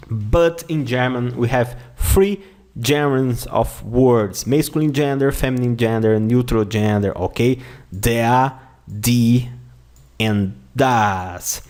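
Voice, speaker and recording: Slow Brazilian man teaching a lesson. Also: medium pitch, darkish tone. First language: Portuguese. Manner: slow, repeats words and phrases often, says "okay?" a lot. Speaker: language teacher. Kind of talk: online language lesson.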